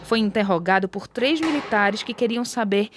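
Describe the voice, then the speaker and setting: A woman's voice: medium pitch, normal speed, a little hiss or hum. A Brazilian woman reading a scripted documentary narration as the narrator. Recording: music behind the voice. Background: background music.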